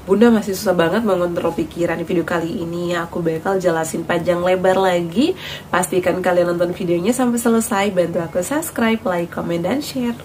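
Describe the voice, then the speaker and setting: A woman's voice: lowish voice, steady tone, brisk, darkish tone, a little hiss or hum. A woman talking casually to her viewers in a health vlog, chatty and friendly, with frequent fillers and restarts. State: recovering from cough and lost voice. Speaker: vlogger.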